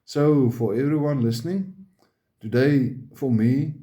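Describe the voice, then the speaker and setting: Afrikaans guy vlogging to his viewers, slow and halting, mellow voice. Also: medium pitch. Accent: Afrikaans South African. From South Africa. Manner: halting and emphatic, repeats words. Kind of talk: personal monologue to viewers.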